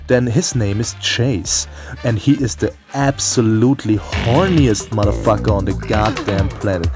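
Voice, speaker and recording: A man's voice: fairly low pitch, darkish tone. A man delivering a comedic scripted video game review in a theatrical, profane and playful way. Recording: music behind the voice.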